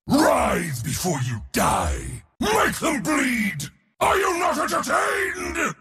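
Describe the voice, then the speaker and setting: A man playing an arrogant, boisterous war god in a video game, speaking in a bombastic, boastful way with a gravelly, raspy voice. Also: very high voice, a bit bright, in a large hall, heavily acted. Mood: battle fury. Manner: bombastic, boastful, theatrical delivery. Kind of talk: video game hero voice lines.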